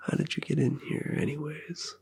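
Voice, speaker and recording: An ASMR performer speaking in a breathy whisper, soothing and flattering in a personal-attention roleplay. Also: mid-range voice, normal speed. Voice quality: breathy, mostly unvoiced whisper.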